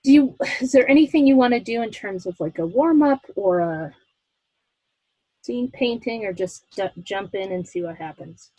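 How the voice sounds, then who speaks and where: A woman's voice: mid-range voice, expressive, moderate pace, warm tone, slightly rough, no echo, dull audio. A woman actor in a casual creative discussion, agreeable and tentative as she offers ideas. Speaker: improv audio drama actor.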